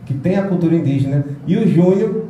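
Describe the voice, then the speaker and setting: A man's voice: on the high side, animated, brisk, dull audio. A man presenting a music video to a small audience in an indoor meeting room, talking casually and playfully with restarts, recorded on a phone across the room. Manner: chatty, rambling, with restarts and playful asides. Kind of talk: casual public talk. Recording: very echoey room.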